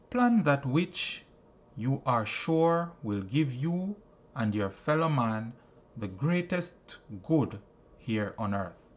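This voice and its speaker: A man reads a self-help book aloud as a scripted narration. His pace is very slow and his pitch sits in the middle range for a male voice. The delivery is solemn and instructive, with each phrase set out deliberately. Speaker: audiobook narrator.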